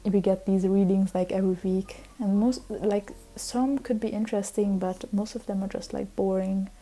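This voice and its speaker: Woman, twenties, Hungarian native, rambling casual vlog chat, very mellow dark tone. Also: medium pitch, fairly even intonation, normal speed, faint music behind, low-quality recording. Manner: rambling, many fillers and restarts. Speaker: vlogger.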